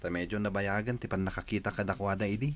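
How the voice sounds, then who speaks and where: An actor with an Ilocano accent playing a young village bachelor in a radio drama. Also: male voice, fairly low pitch, steady tone, fast talker, lightly acted. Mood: curious. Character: young bachelor nephew, interested in local girls.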